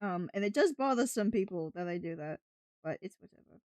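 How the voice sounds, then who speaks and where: A woman's voice: fairly low pitch, animated, fairly quick, darkish tone, dry recording. A woman from New England chatting casually on a livestream in a put-on British accent, rambling in a chatty, giggly way. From New England, United States. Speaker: live streamer. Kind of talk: casual livestream chat with viewers.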